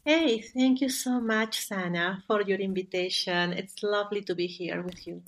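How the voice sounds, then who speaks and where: A woman with a Peruvian Spanish accent shares her story as a podcast guest. Her voice has an average pitch and a noticeable creak, in a conversational storytelling style. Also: moderate pace. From Peru. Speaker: podcast guest, spiritual guide.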